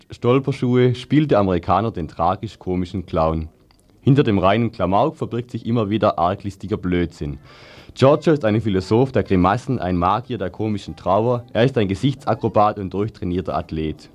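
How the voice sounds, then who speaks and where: Male announcer with a low voice, reading event tips over a music bed. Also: a little mellow. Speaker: radio presenter. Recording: music behind the voice.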